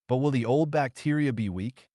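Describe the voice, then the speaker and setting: A man's voice: mid-range voice, normal speed, warm tone, no echo, studio quality, voice pushed up, lightly acted. A man reading the quoted words of an earnest, confident French scientist in a biography audiobook, in a formal, assured manner. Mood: questioning.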